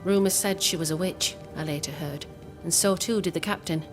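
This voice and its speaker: A composed woman with a low voice played by an actress in a video game drama. She speaks formally and deliberately, a resolute plotter weighing her words, with prominent music underneath. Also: lightly acted. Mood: serious, guarded. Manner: formal, measured, deliberate phrasing. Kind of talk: video game cutscene acting.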